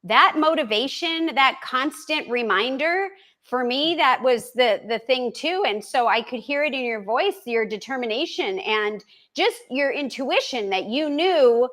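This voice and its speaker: A woman's voice: high-pitched, animated, unhurried, fairly bright tone. A woman hosting a live interview, encouraging and playful with short affirmations. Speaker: host.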